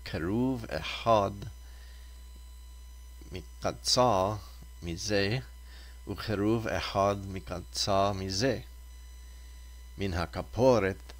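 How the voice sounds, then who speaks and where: Man, low-pitched voice, Torah reader, deliberate scripture reading, short halting phrases.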